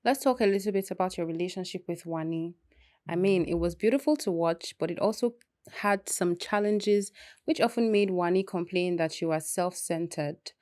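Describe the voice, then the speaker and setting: A woman interviewer asking prepared questions in a steady, scripted manner. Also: on the low side, moderate pace, dry recording, studio quality.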